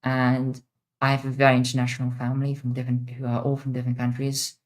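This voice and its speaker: A young woman with a mixed international accent, answering chat questions on a casual livestream, blunt and quick to swear. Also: rumbling low, completely flat delivery. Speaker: streamer.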